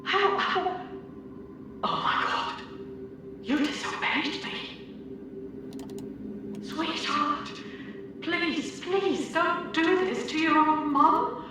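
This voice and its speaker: An actor playing a frightened mother pleading as a digitized mind, halting and desperate, in a game cutscene. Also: extremely high pitch, steady tone, full character voice. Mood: panicked, pleading. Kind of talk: dramatic game cutscene acting. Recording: very echoey, distant, noisy.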